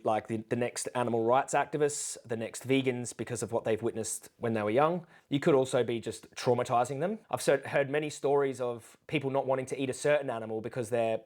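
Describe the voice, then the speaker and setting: A man's voice: mid-range voice, darkish tone. A man giving unscripted reaction commentary as an animal rights advocate, earnest and admonishing, often posing rhetorical questions.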